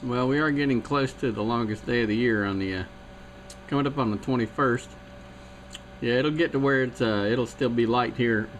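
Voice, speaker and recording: A man's voice: medium pitch, normal speed. A man chatting over CB radio, rambling and chatty, with a technical bent. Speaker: CB radio operator. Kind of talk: casual radio chat. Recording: CB radio with clear static.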